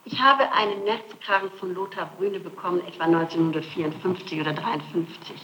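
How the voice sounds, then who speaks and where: Insistent, halting woman on noisy old tape. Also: on the low side, fairly even intonation, a bit bright. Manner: insistent, halting denials with repetitions. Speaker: suspect under interrogation. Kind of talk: answering questions in a recorded police interrogation. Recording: noisy 1960s archival tape.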